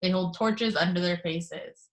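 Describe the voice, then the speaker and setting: A woman reading the action descriptions of a screenplay in a steady, matter-of-fact way on a video call. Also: lowish voice, unhurried.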